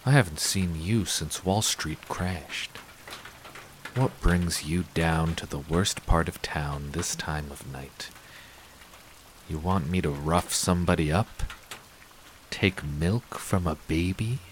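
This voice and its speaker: An actor playing a gruff, cynical down-and-out war veteran in a noir audio drama, terse and sardonic. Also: male voice, deep voice, slow, a little hiss or hum, poor audio. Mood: wry, weary. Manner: terse, world-weary, sardonic.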